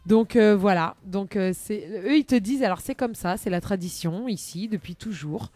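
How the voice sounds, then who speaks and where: A woman presenting a chatty live radio magazine segment in a bubbly, playful and expressive manner. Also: mid-range voice, normal speed, no echo.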